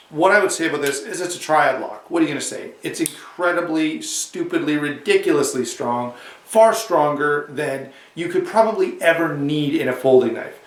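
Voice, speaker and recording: A man with a cold and a slightly hoarse voice, casually reviewing a knife to camera, rambling with restarts and asides. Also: fairly high pitch, reverberant, recorded from a distance.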